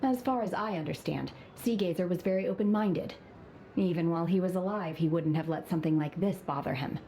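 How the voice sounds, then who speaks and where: Woman voicing a calm, reserved young woman. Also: on the low side, brisk, slight background noise, close to an everyday voice. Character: calm, aloof young woman trained by immortals. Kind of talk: game dialogue.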